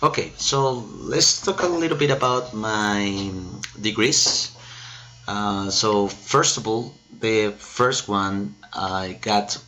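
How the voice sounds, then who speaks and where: A Colombian man in his thirties with a bright, forceful voice introduces himself as a teacher. He speaks slowly and explains things with some hesitation. His Spanish is his first language, and it shows in his accent. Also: on the low side. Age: 30s. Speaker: English teacher. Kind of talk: self-introduction presentation to camera.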